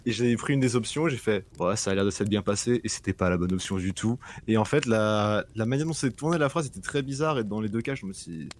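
A man's voice: lowish voice, fast, few pauses, slight background noise. A man co-hosting a casual gaming podcast chat, talking effusively and gushing with laughter. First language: bilingual French and English. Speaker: podcast co-host.

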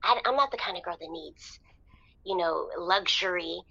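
Nervous lovelorn lady calling a radio show, fry in her voice, stammery and sorry, slightly muffled audio. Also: fairly low pitch, pushed and bright, exaggerated voice. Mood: apologetic. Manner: halting, nervous, apologetic, many hesitations. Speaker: caller to radio advice show. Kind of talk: improvised audio drama phone-in.

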